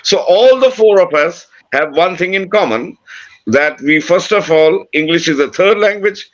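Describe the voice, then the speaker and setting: A man's voice: sits high, lively intonation, measured pace, dull audio. A man with an Indian accent, a native Bengali speaker, talking off the cuff as a panelist, emphatically repeating phrases and posing questions that he answers himself. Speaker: panelist on a talk show. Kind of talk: animated unscripted panel talk.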